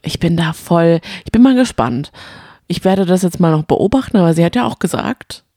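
A woman's voice: on the low side, animated, normal speed, dark tone, dry recording, clean studio sound. A woman chatting casually as a podcast co-host, colloquial and opinionated. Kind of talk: casual chat about reality TV.

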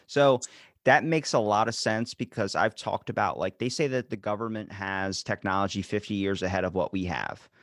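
A man with a Baltimore accent, talking in a rambling, animated way and swearing casually in a podcast chat. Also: fairly low pitch, moderate pace, no room sound, clean studio sound.

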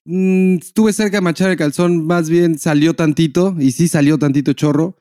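A man's voice: on the high side, fairly even intonation, moderate pace, warm tone, no room sound, studio quality. A man with a Mexican accent chatting casually as a podcast co-host, slangy and sweary, repeating phrases for emphasis. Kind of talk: casual comic chat.

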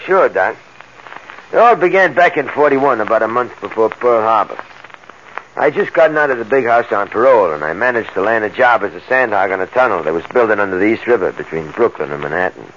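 A man's voice: fairly low pitch, lively intonation, brisk, a bit bright. Muffled, hissy old radio sound carries a New ex-convict in his thirties. He is a streetwise, wary man who talks tough and slangy, in 1940s radio crime drama style. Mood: confiding, boastful. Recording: muffled old radio recording, background hiss.

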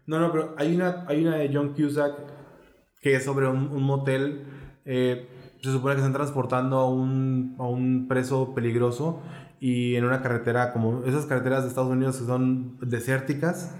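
A Mexican man speaks in a colloquial, slangy way, as in a relaxed film podcast. His voice sits at an average pitch. The recording is roomy, with noticeable echo. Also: moderate pace. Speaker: film discussion podcast host. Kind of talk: casual chat about films. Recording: roomy, echoing sound.

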